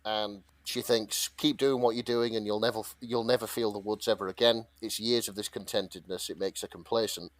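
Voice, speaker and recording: A man's voice: on the low side, fairly even intonation, fairly quick, few pauses, dry recording. A British man co-hosting a podcast, chatting casually and retelling fanfiction in a hesitant, rambling way. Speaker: podcast co-host.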